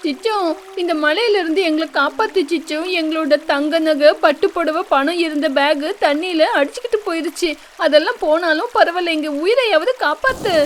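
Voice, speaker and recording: Woman voicing a high-pitched melodramatic rich lady bird. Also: moderate pace, slight background noise. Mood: desperate pleading. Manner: melodramatic, exclamatory. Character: haughty rich female bird relative, later panicked and humbled. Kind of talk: children's moral story cartoon voice acting.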